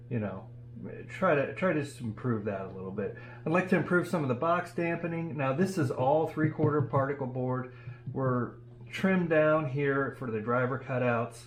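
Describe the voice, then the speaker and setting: A man talking casually and thinking aloud as he hosts a hands-on DIY workshop demonstration. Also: mid-range voice. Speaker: DIY audio channel host. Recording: steady background noise and hum.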